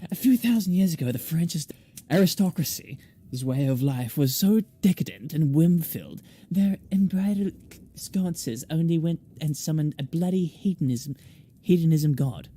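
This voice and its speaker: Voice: mid-range voice, expressive, normal speed, warm tone, husky. A game player voicing a macabre, theatrical woman, a former shaman who tells grandiose, droll tales. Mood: conspiratorial.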